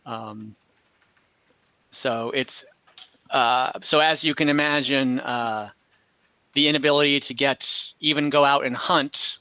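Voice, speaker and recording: Guy running a dnd game, bright forward voice, explains stuff off the cuff, slightly dull dry call audio. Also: mid-range voice, no echo. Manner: conversational, explanatory. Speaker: game master. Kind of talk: improvised narration of a tabletop game.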